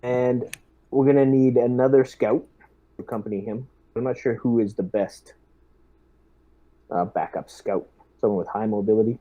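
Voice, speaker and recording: A man playing a squad lieutenant in a tabletop role-playing session, speaking in a deliberate, halting, businesslike way. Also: mid-range voice, steady tone, lo-fi sound. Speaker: player acting as squad lieutenant.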